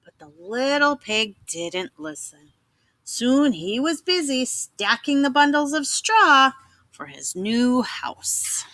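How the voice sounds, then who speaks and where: A woman narrates a children's story in a measured, dramatic read-aloud style. Her voice is fairly high with very lively intonation. She takes her time over the phrases. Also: no echo.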